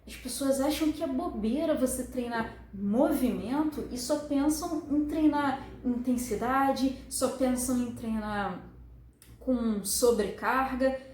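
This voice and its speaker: A woman with a high voice explains step by step, walking through diagrams as a fitness instructor. Her manner is friendly and full of affectionate diminutives. The room around her is noticeably echoey. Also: takes it slowly, darkish tone, a little hiss or hum. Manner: friendly, uses many diminutives.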